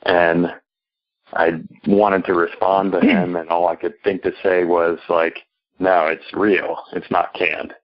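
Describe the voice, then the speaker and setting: A man calling in to a live show with a question, talking casually in a halting, unassertive way. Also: low-pitched, flat delivery. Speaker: caller. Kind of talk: live call-in question, hesitant casual talk. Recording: dull, lo-fi call-in audio.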